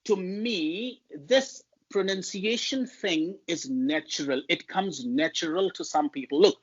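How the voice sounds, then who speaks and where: A man's voice: high voice, slightly rough, highs cut off. A man with a Pakistani accent, a native Urdu speaker, talking as an interview guest about public speaking and laying out his points one by one. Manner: measured, emphatic, lists points. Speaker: guest linguist.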